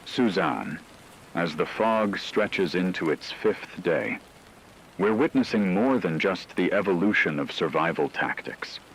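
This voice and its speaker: A man playing a grave radio news co-anchor giving deliberate, formal scripted commentary during an apocalypse. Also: low-pitched, animated, creaky, husky, heavily acted, rough recording. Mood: grave, intent. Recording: faint rain noise behind voice.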